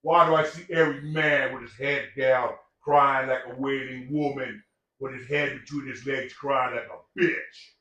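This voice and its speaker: A man's voice: medium pitch, steady tone, a little slow, pushed and bright, far from the mic. Profane, preachy older man in an echoey room. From Samoan heritage. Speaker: podcast guest. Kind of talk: casual chat.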